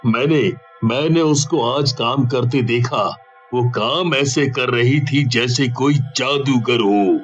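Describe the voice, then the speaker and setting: An actor playing a calm, authoritative, cautious village chief in a horror story. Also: male voice, medium pitch, slow pace, faint music behind. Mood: grave. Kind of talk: animated horror story dialogue.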